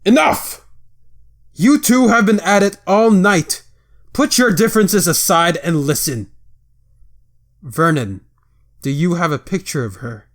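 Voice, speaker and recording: A man's voice: sits high, animated, a little slow, long pauses. A man voicing a stern, commanding vampire father who leads a council, in an amateur roleplay. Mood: angry. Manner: commanding, formal, probing.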